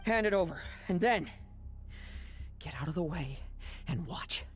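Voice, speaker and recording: A man's voice: on the high side, expressive, a little slow. A man playing a cool, brooding young knight vice captain in a video game, with a clipped, sardonic manner. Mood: cold, stern. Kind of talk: dramatic game voice acting. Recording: music behind the voice.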